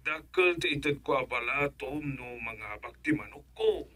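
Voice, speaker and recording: Radio comedy role: sly, boastful Ilocano Filipino husband, slow, drawling delivery, very animated pitch. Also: male voice, no echo. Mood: sly, cajoling. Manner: boastful, cajoling, exclamatory. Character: money-obsessed scheming village husband, boastful gambler, sly tempter.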